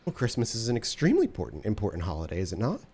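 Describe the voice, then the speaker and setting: A man's voice: lowish voice, huge pitch swings, racing, a little mellow, husky. A man running a tabletop role-playing game as storyteller, narrating loosely and laughing often.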